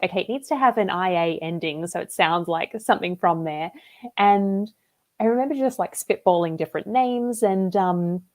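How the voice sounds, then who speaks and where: An Australian woman talks over a video call in an easy, conversational way. Her voice sits at a middle pitch, and she fills her speech with casual asides as she explains her writing. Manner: chatty and relaxed, with frequent "like" and "um" fillers. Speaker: debut novelist interviewed about her book.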